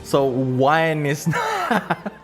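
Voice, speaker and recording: High-voiced man narrating a tabletop game. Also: soft background music. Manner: animated storytelling, halting, thinking aloud. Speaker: game master and streamer.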